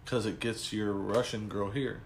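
A man's voice: on the low side, fairly even intonation, a little slow. A young man chatting casually with friends, teasing and swearing. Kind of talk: casual chat among friends. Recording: echoey room with faint hiss.

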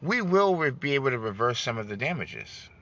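Gravelly emphatic man addressing viewers. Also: mid-range voice, very animated, brisk, bright, forceful tone, highs cut off. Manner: emphatic, repetitive, addresses listeners as guys. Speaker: political speaker on a broadcast. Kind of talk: political speech to an online audience.

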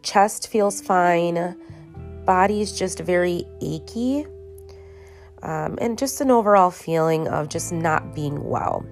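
A low-voiced woman hosts a solo podcast while sick, sounding tired and congested. She talks slowly and haltingly, restarting sentences and filling gaps with ums. Faint guitar music plays underneath. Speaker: podcast host. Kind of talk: casual solo podcast monologue. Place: her bedroom at home.

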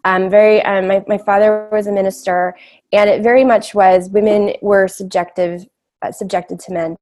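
A woman speaks as an interview guest in a candid, conversational way. She has a big voice at an average pitch and explains herself reflectively through personal experience. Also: steady tone, normal speed, darkish tone.

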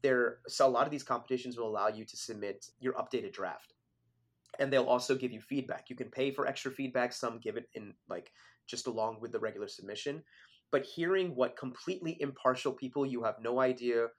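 A young man in mid-range pitch, talking through his experience as a filmmaker in a relaxed interview. He keeps it conversational, sprinkling "like" and "you know" as he thinks aloud. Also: fairly quick. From Philadelphia area, born in Switzerland. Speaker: filmmaker guest. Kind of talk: casual interview talk.

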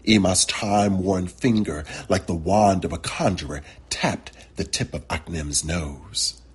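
A man narrating a literary short story as its author, with deliberate, dramatic phrasing. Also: deep voice, slightly rough. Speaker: author reading his own short story.

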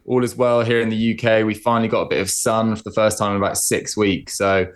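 A man's voice: fairly low pitch, quick pace. A man in his late twenties, born in Scotland but with an English accent, chatting casually and self-deprecatingly as a podcast guest over a remote call. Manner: relaxed, self-deprecating, chatty with many fillers. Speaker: podcast guest, football presenter. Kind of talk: casual interview chat.

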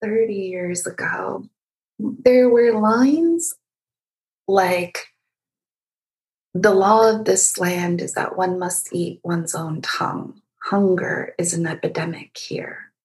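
A woman from Egypt conducting a literary interview, speaking reflectively and feeling her way through halting phrases. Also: medium pitch, darkish tone, low-quality recording.

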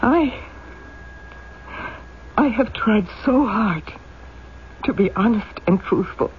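Scared sick young mom, slow halting pleading, muffled old radio drama. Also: female voice, mid-range voice, lively intonation. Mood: desperate pleading. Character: frightened, desperate, ailing young working mother. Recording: dull, noisy old radio broadcast.

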